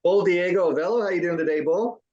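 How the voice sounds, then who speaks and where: A man's voice: high voice, fast talker, a little mellow, highs cut off. A man hosting an interview, chatting casually and rambling with lots of ums and restarts. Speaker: interview host.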